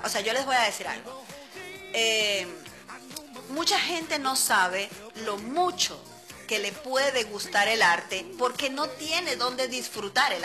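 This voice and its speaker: A woman's voice: fairly high pitch, pushed and bright. Chatty Venezuelan woman radio host. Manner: chatty, playful, laughs often. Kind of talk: casual radio show chat. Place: radio studio.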